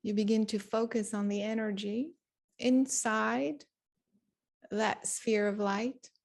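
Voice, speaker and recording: A woman guides a meditation at a very unhurried pace. Her voice sits at an average pitch for a woman. She gives instructions in short, deliberate phrases, leaving long pauses between them. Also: fairly even intonation, creaky, a little mellow.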